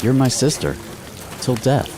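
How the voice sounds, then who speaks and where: A man playing a loyal, dry-witted sergeant major in a radio drama, laconic and deadpan. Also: medium pitch, unhurried, noisy background. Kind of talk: post-apocalyptic radio drama acting.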